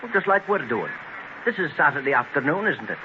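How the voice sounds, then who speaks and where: Young Scot, ambitious, earnest, argumentative, slightly high voice, 1930s radio drama, dull noisy transcription. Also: male voice, big pitch swings, a little fast. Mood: earnest, confident.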